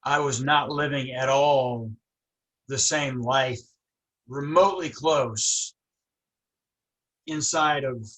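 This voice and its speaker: A man's voice: mid-range voice, slow pace, pauses often, a bit bright, poor audio. Reflective male host who stutters. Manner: reflective, ends with "right?". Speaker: podcast host. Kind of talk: casual conversation.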